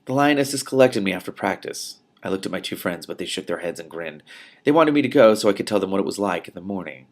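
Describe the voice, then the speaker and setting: A man reading a novel aloud as host, in an expressive style with emphatic phrasing and off-the-cuff commentary. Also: deep voice, quick pace. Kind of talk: expressive audiobook-style reading with spontaneous reactions.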